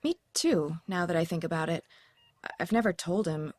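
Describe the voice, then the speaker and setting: A woman's voice: lowish voice, normal speed, dry recording, natural voice. An actress playing a blunt, wry young woman recovering from an accident, in an audio drama.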